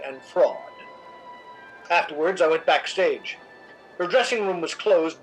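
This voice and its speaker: An actor playing a suave, cynical, scheming theatre critic in a radio drama, with an arch, measured, theatrical delivery. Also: male voice, on the high side, a bit bright, low-quality recording. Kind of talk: old-time radio drama narration and acting.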